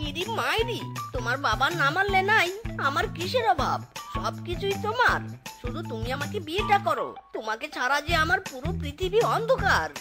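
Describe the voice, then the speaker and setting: A very high-pitched, boastful cartoon fox husband acted by a woman, lovestruck and quick to rage. He exclaims, pleads and scolds in melodramatic fashion. Loud children's music plays behind the voice. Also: very animated. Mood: wooing. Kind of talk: children's cartoon story dubbing.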